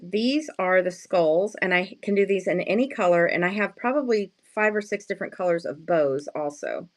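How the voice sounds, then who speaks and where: A woman with a slightly hoarse, just-woken morning voice, chatting casually and playfully as she sells handmade crafts on a livestream, often addressing viewers and poking fun at herself. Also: fairly low pitch, normal speed. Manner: self-deprecating, playful slangy wording. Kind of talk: casual live sales chat with viewers.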